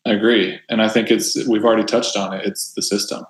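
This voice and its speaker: Male doctor guest, deep, flat delivery, roomy echo on the track. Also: fast. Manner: thoughtful, conversational, with frequent restarts. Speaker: family doctor. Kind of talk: casual interview talk.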